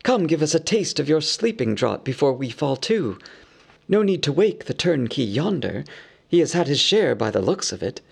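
A man's voice: a little high, sing-song, normal speed, gravelly, breathy edge, exaggerated voice. A man voicing a band of merry, teasing young elves in a raised, jeering voice.

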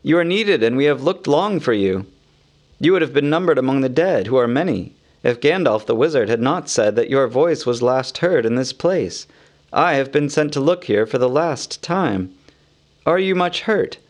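A man reading an audiobook, voicing a strong, steady male warrior with a firm and earnest manner. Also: medium pitch, normal speed, close to an everyday voice. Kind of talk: audiobook character voice.

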